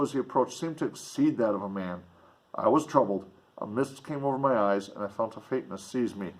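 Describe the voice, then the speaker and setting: Man, medium pitch, expressive audiobook narration, reader and commentator. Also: breathy edge, low-quality recording.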